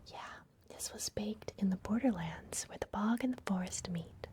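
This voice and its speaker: A woman playing a gentle, secretive vampire librarian in an ASMR fantasy roleplay, speaking in a soft, breathy, confiding whisper. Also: mid-range voice, lightly acted. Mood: cozy, instructive. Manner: gentle, hushed, confiding, unhurried.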